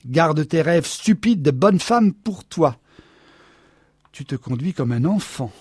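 A man's voice: on the high side, unhurried, husky, no echo, studio quality. A man reading aloud as a jealous husband who snaps and raises his voice in anger. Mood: scornful. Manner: loud, sharp outbursts. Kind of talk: audiobook dialogue reading.

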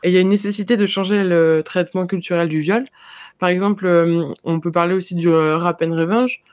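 A young adult in casual discussion, talking hesitantly with many pauses and filler sounds. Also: mid-range voice, fairly even intonation, a little mellow, dry recording, studio quality.

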